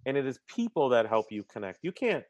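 A man's voice: mid-range voice, big pitch swings, brisk, darkish tone, dry recording, muffled recording. A man talking casually and energetically as an interview guest, often repeating words as he starts sentences and using slang like "man" and "bruh". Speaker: podcast guest.

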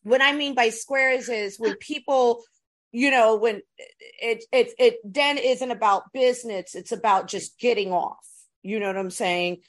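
A frank woman with a voice that sits a bit high tells her stories in a loose, animated way. She swears freely, restarts her sentences and keeps throwing in asides. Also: unhurried. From California. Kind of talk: casual storytelling chat.

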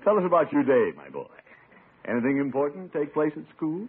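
An actor playing a genial, pompous middle-aged uncle in an old radio sitcom. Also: male voice, a little high, wildly swooping pitch, brisk, breathy edge, exaggerated voice. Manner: pompous, chuckling, avuncular. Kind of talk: 1950s radio sitcom acting. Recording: muffled old radio recording, faint audience, hiss.

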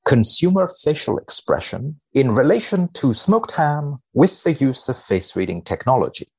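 A man's voice: medium pitch, very animated, normal speed, breathy edge. A man speaking as a researcher guest, reading studies aloud and commenting with dry mock seriousness.

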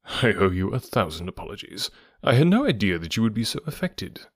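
A man voicing a calm, urbane Victorian gentleman detective with a nonchalant manner, in an audiobook story. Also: on the low side, brisk, dry recording, studio quality. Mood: apologetic, concerned. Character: tall, keen, composed Victorian gentleman detective.

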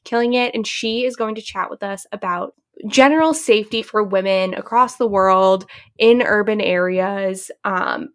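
A woman's voice: medium pitch, a bit bright. A young woman co-hosting a podcast, introducing a guest in upbeat, emphatic casual chat. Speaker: podcast co-host.

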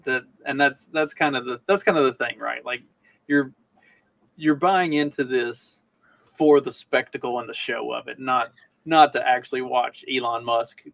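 Male co-host, mid-range with heavy vocal fry, informal wry banter, circles back on phrases. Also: mid-range voice, moderate pace, a bit bright, dry recording. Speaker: podcast co-host. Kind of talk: casual news banter.

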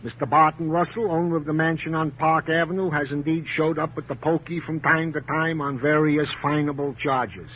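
Male police sidekick: aggrieved, officious, formal and precise, higher-pitched, on a muffled vintage radio crime show. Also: fairly even intonation, normal speed, few pauses, slightly rough. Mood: officious, pompous. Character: fussy, self-pitying male police sidekick with pompous diction. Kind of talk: 1950s radio crime drama acting. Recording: muffled, hissy old radio broadcast.

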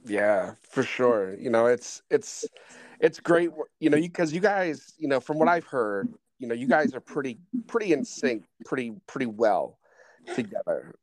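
A man's voice: medium pitch, big pitch swings, moderate pace, dry recording. A man interviewing a band, chiming in agreeably. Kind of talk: casual interview chat.

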